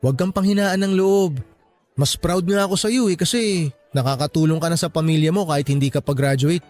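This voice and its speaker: A man acting an encouraging, teasing young college graduate with an earnest, animated delivery in a radio drama. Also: a little high, a little fast, soft background music, lightly acted. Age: young adult.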